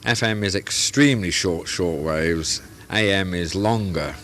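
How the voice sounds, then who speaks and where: A man's voice: deep voice, measured pace, fairly bright tone, a little hiss or hum. A man answering interview questions about his hobby club, explaining patiently in plain comparisons. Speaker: radio club founder.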